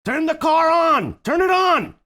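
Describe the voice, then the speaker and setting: A man playing an excitable, easily disgusted hospital patient in exclamatory bursts at a comedy table read. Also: very high-pitched, measured pace, full character voice. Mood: frantic urging. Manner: exclamatory, animated.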